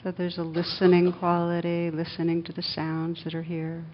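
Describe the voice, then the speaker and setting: A woman leading a guided meditation as a teacher, speaking gently and soothingly, with long unhurried pauses. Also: completely flat delivery. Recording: dull muffled recording with faint rumbling noise.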